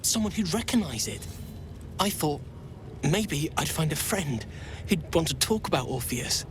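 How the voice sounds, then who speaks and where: Earnest, timid young alien warrior trainee. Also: male voice, a little high, expressive, background noise. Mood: shy, wistful. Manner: hesitant, earnest, halting. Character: earnest, timid young githyanki male trainee, dreamy idealist. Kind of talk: video game voice acting.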